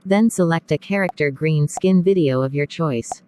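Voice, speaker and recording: A narrator reading a scripted step-by-step software tutorial. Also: medium pitch, moderate pace.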